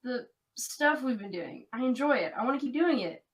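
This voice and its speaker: A woman's voice: sits high, expressive, moderate pace, recorded from a distance. A woman playing an earnest, conflicted young American woman in an audio drama, confiding in natural dialogue. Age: young adult.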